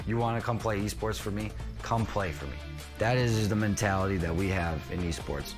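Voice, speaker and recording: A man speaks in an emphatic, rallying way, his voice a little low. Clear music plays behind him. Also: fairly quick.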